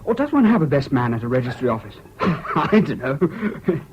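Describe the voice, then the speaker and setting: An actor in a British radio drama playing a troubled, excitable, self-doubting young man with an English accent and an earnest manner. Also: mid-range voice, fast talker. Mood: elated. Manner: hesitant, earnest, swinging between gloom and giddy excitement. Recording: dull, hissy old radio recording.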